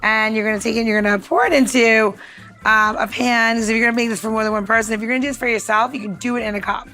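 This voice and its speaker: Chatty, higher-voiced woman with music behind. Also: steady tone, racing, pushed and bright. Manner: chatty, rambling, informal. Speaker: podcast co-host giving drink recipes. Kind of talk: casual recipe walkthrough and chat.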